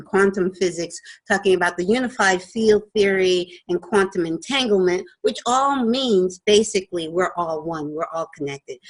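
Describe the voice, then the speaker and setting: Female host, mid-pitched, earnest and eager; online radio interviewer. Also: rough recording. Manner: earnest and enthusiastic, with scripted-sounding openings.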